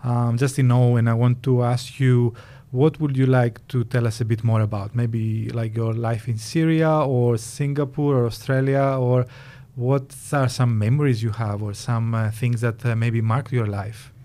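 A man's voice: medium pitch, fairly even intonation, unhurried, warm tone. A man hosting a podcast, reading a reflective scripted intro and then chatting with his guest with a few hesitations. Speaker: podcast host. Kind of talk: scripted intro narration, then conversational interview. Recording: music playing under the voice. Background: guitar music.

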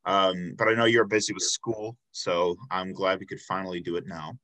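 A man's voice: sits low, little pitch movement, normal speed, pushed and bright, rough recording. A man in his twenties hosting a casual interview, laid-back and loose, with lots of fillers and casual swearing. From Chicago south suburbs, USA. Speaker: podcast host.

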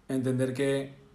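A man's voice: mid-range voice, a little mellow, echoey room. Earnest man, reflective vlog. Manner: casual and earnest, with self-corrections and tag questions. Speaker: vlogger. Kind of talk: reflective personal monologue.

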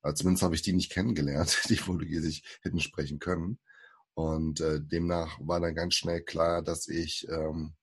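A man in his forties, born in Brazil, speaks as a podcast guest. His voice sits very low with a gravelly, husky texture. He talks in a hesitant way, with many fillers and restarts. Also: level tone, moderate pace. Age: 40s. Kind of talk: casual interview talk.

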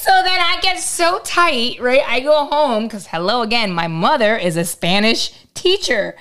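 A woman co-hosting a podcast, with a slightly high voice. She tells a story in a lively, animated way, laughs often and acts out the people she quotes. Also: a little slow. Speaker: podcast co-host. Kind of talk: casual chat, storytelling.

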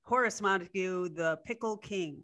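A woman's voice: on the low side, normal speed, fairly bright tone. A woman chatting casually as a member of a radio play cast. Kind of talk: casual chat.

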